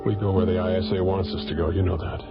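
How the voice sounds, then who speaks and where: An actor playing a reserved, weary scientist in an old science fiction radio drama, talking quietly. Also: male voice, low-pitched, little pitch movement, rapid-fire. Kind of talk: 1980s radio drama acting. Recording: dull old radio recording with music.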